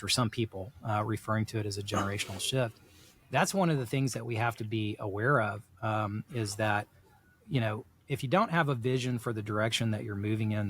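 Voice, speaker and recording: A man's voice: lowish voice, a bit bright, slight background noise. A middle-aged man from South Texas, talking through ideas in a rambling, reflective way full of "you know" fillers on a podcast. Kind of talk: casual podcast discussion.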